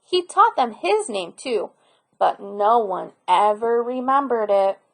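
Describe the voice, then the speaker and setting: A woman narrating a children's picture book aloud in an expressive storyteller style. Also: fairly high pitch, slow.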